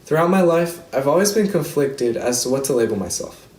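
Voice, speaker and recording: A man's voice: fairly high pitch, expressive, fairly quick, darkish tone, echoey room. A man around twenty, a student from the United States, giving an earnest, reflective prepared speech. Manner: earnest, reflective, reading a script. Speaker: student speaker.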